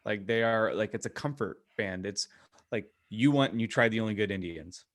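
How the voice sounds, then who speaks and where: A man co-hosting a podcast in casual chat, rambling and halting as he talks. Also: on the low side. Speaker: podcast co-host.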